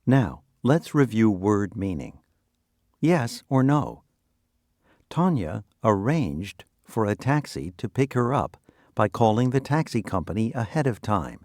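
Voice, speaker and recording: Man, lower voice, instructional quiz narration, deliberate phrasing, very expressive intonation. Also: no room sound, clean studio sound. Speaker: lesson quiz presenter. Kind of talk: scripted educational quiz narration.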